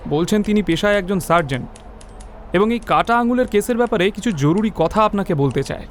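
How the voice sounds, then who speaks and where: Junior police officer, high voice, formal and dutiful, faint ambience, detective radio play. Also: male voice, lively intonation, brisk, slight background noise. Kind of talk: dramatised detective audio story acting.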